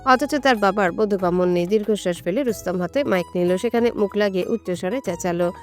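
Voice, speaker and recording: A woman's voice: mid-range voice, fairly quick, faint music behind. A woman reading a scripted romantic story as its narrator.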